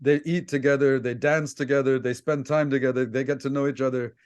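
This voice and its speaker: A man's voice: medium pitch, steady tone, fairly quick, a little mellow, clear, resonant tone. A man from Egypt hosting a casual interview, talking in an opinionated, anecdotal way. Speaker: host, debate coach interviewing a guest.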